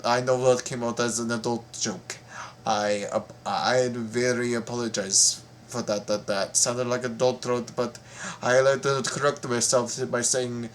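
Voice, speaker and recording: A man hosting a reaction video, commenting casually in a halting, stammering way and repeating his words. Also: mid-range voice, a little hiss or hum.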